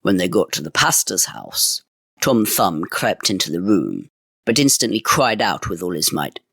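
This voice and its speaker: A man's voice: low-pitched, big pitch swings, normal speed, gravelly, fairly bright tone, husky, dry recording, studio quality. A man reading a fairy tale aloud in a dramatic, old-fashioned storytelling manner.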